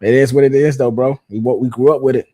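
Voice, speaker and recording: A man in his thirties talks in a laid-back, slangy way, often repeating a phrase for emphasis. His voice sits at a middle pitch. The sound is dry and close, with no room around it. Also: steady tone, quick pace, mellow, no echo. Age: 30s. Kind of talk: casual pop culture chat.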